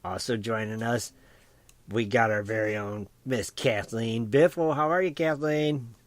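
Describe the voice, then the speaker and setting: A man with an American accent hosting a casual radio show, easygoing and quick to laugh, with folksy phrasing. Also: mid-range voice, dry recording. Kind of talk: casual chat. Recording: lo-fi online radio call.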